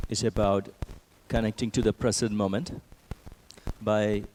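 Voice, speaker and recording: Guy doing guided meditation, gentle n super slow, lots of pauses, creaky voice. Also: on the low side, a little hiss or hum, rough recording. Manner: gentle, measured, with long pauses. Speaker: meditation and yoga teacher.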